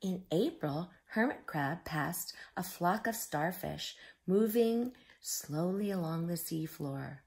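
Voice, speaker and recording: A woman reading a story aloud to young children, explaining simply with drawn-out emphasis like a teacher. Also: fairly low pitch, lively intonation, gravelly, a little mellow.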